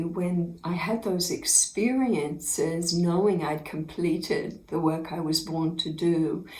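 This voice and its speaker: A woman with a fairly low voice tells stories from her own spiritual journey at a very slow, unhurried pace, earnest and quick to laugh. Her voice rings in a noticeably echoey room. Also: darkish tone. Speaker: spiritual teacher. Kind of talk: inspirational personal storytelling to camera.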